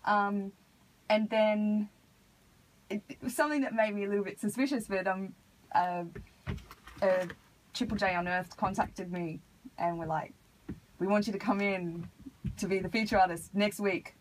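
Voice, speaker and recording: An Australian woman with a husky voice, talking casually as an artist being interviewed on radio. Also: mid-range voice, fairly even intonation, moderate pace, pauses often, a little hiss or hum, rough recording. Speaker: interview guest, hip hop artist. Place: radio studio.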